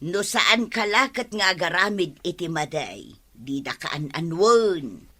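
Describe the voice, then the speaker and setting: A woman's voice: lowish voice, animated, measured pace, fairly bright tone. An actress with an Ilocano accent playing a curious village woman who asks question after question in a chatty way. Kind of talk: 1950s-style radio comedy acting.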